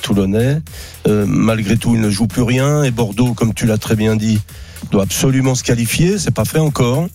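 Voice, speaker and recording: A man's voice: mid-range voice, moderate pace, darkish tone, faint music behind. A man on a sports radio panel giving his view, hesitant and full of fillers. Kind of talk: casual sports radio chat.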